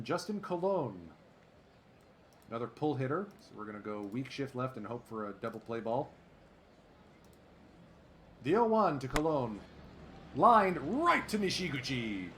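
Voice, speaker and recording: A man doing play-by-play commentary over a baseball sim game, excitable and chatty, drifting into asides. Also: medium pitch, a little hiss or hum. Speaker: let's play host.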